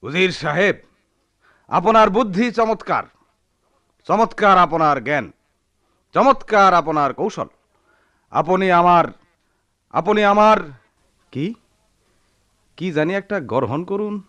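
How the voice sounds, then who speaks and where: An actor playing a poor sharecropper husband, tender but hot-tempered, speaking rural Bangladeshi dialect in a theatrical radio drama manner. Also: male voice, sits high, lively intonation, takes it slowly, pauses often, no echo, highs cut off, exaggerated voice. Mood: grandly declaiming. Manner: theatrical, swinging from murmurs to shouts.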